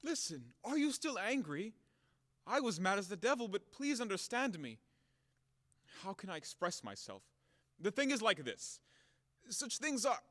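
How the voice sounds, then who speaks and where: Man playing high-voiced, hot-headed lovestruck gentleman. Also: big pitch swings, normal speed, pauses often. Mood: agitated, passionate. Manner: melodramatic, declamatory, swinging from bluster to pleading. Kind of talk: theatrical audio play acting. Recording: long hall reverb, sometimes off-mic.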